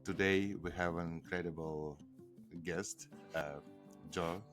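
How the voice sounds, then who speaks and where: A man's voice: low voice, steady tone, takes it slowly, fairly bright tone, faint music behind. A man with a Russian accent hosting a podcast, introducing his guest hesitantly with many fillers. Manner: hesitant, many fillers, non-native phrasing. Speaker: podcast host.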